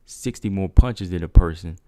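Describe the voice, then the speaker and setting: A man's voice: low voice, normal speed, dark tone, dry recording. A man hosting a casual MMA livestream, asking his viewers rhetorical questions. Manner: talks straight to viewers, asks rhetorical questions. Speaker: MMA talk show host.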